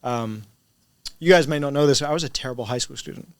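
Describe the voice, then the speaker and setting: A man's voice: mid-range voice, fast, clear, resonant tone, dry recording. A middle-aged man from Spain with a mixed English, American and international accent, chatting as an interviewed teacher and often tagging on "right?". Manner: conversational, often checks with "right?". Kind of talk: casual interview chat.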